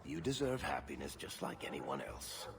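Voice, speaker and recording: An actor voicing a wry, affectionate, roguish adventurer in a video game, with a gravelly voice. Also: male voice, rumbling low, very animated, moderate pace, noisy background, exaggerated voice. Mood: mock-wounded fondness.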